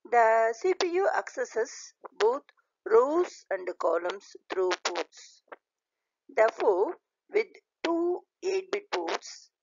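Slow, lively-pitched woman lecturer. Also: vocal fry, dry recording. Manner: deliberate, halting delivery in short phrases. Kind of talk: scripted slide lecture read aloud. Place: voice-over recorded over slides. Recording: dull, lo-fi voice recording.

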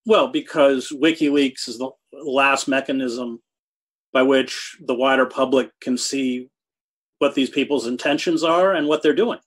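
A man in his sixties answering interview questions over a video call from a home study, speaking thoughtfully with halting restarts and hard emphasis on key words. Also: medium pitch. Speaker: guest, journalist. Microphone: video call webcam.

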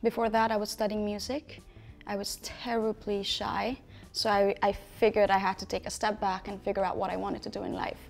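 Young woman from Nepal, gravelly edge. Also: mid-range voice, level tone, brisk. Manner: wry, self-mocking, laughing storyteller. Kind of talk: casual interview chat. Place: dark studio set, indoors.

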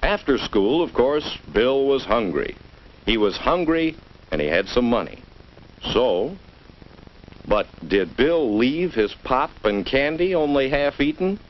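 A man with an American accent narrating a 1950s instructional film in a measured way, as if speaking directly to a child. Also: medium pitch, big pitch swings. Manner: measured, addresses the child directly with rhetorical questions. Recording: dull, noisy vintage educational film soundtrack.

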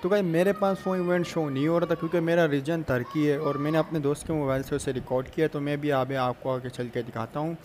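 A young man with a somewhat high voice gives casual gaming commentary. He talks to his viewers informally, like a YouTuber sharing news. The voice-over has faint noise behind it. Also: steady tone, fast, few pauses, a little mellow. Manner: addresses viewers informally and repeatedly. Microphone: phone screen recorder voice-over.

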